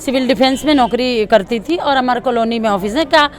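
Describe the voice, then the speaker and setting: A woman's voice: a little high, a little fast. A woman gives an impassioned street interview, emphatic and repeating her points. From Delhi, India. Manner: emphatic, repetitive. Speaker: local protester interviewed. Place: outdoors at a protest. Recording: outdoor street with crowd noise.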